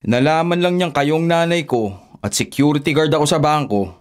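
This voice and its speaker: An actor playing a distrustful, indignant son of thirty in a Filipino radio drama, grumbling and emphatic. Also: male voice, fairly high pitch, normal speed, lightly acted. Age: 30s. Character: distrustful, indignant thirty-year-old son, a bank guard.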